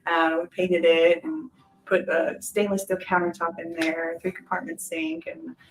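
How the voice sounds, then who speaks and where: Lower-voiced woman telling her personal story. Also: normal speed, recorded from a distance, slight background noise, low-quality recording. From Hawaii, island of Kauai. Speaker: shaved-ice business owner. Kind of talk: personal storytelling in a documentary interview.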